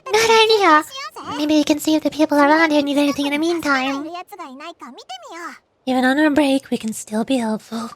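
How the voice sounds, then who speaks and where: Woman voicing a high, chirpy little fairy companion. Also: moderate pace, pauses often, lightly acted. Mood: eager. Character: small, chirpy, worried fairy companion in fantasy game. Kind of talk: anime game voice acting.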